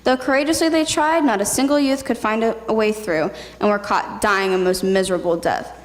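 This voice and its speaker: A woman's voice: mid-range voice, brisk. A woman reading a scripted fairy tale as the narrator of a readers theatre performance. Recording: noisy hall with long reverb.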